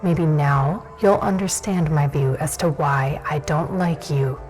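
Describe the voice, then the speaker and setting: Low, cold, disdainful captor. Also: female voice, measured pace, darkish tone, faint music behind, rough recording. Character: cold, hostile, commanding woman captor. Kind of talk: dark fantasy audio drama acting.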